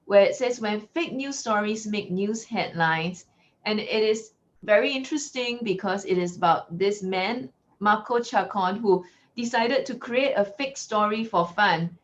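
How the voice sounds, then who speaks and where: A woman from Singapore with a Singaporean English accent, giving an online lecture in a clear, measured teacherly way. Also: mid-range voice, slight background noise. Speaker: guest lecturer. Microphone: video call. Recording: lo-fi video call audio.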